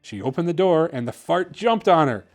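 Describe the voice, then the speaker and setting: A man hosting and narrating a children's bedtime story in an expressive, theatrical way. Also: fairly high pitch, normal speed, faint music behind. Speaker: host reading children's books aloud.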